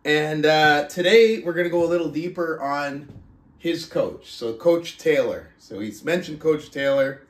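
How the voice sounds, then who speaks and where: A man hosting a book club podcast, talking casually and reading aloud with deliberate, drawn-out emphasis and pauses. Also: a little high. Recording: roomy, echoing sound.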